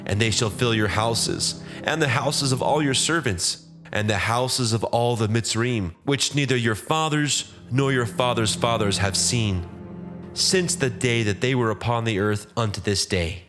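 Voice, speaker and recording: A man's voice: medium pitch, unhurried, music in the background, voice pushed up, natural voice. A man voicing a bold Hebrew prophet in a dramatized scripture reading, declamatory and insistent. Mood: stern warning.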